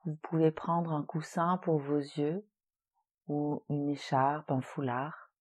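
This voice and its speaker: A woman leading a guided relaxation meditation in a soothing, gentle, unhurried manner with long pauses. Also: low-pitched, little pitch movement, husky. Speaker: yoga nidra relaxation guide.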